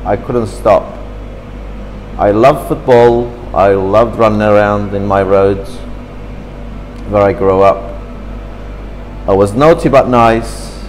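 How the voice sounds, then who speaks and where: Man in his fifties, fairly low voice, lively storytelling, faint air conditioning hum. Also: takes it slowly, long pauses, in a large hall, low-quality recording. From Turkey. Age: 50s. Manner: chatty, animated, gestures with hands, slightly broken English. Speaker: interviewee. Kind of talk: reminiscing in an interview. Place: café, seated at a table indoors. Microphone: camera across the table.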